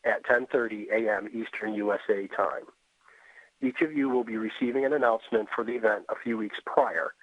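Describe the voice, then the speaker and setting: A man with a mid-pitched voice moderates a webinar in a polite, businesslike manner. He sounds as if he is on a narrow telephone line, very dry, with no room around him. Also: slightly rough, no room sound. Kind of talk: moderating Q&A, reading questions and announcements. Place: remote call. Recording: tinny, phone-like line.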